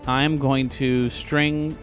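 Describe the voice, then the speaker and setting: A man's voice: medium pitch, flat delivery, very slow, soft background music. A man playing a tabletop game, talking through plans and repeating himself as he thinks. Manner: talks through plans, stammers and repeats words. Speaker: player. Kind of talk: casual tabletop play.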